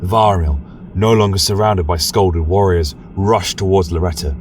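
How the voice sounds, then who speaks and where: A man's voice: low-pitched, normal speed, far from the mic, slight background noise. A man narrating a scripted fantasy tale in a solemn, dramatic style as a podcast storyteller.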